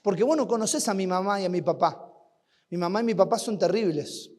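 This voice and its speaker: A man with an Argentine accent preaching a sermon at a youth camp, asking rhetorical questions and swinging between loud and hushed. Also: sits high, a little fast. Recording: long hall reverb.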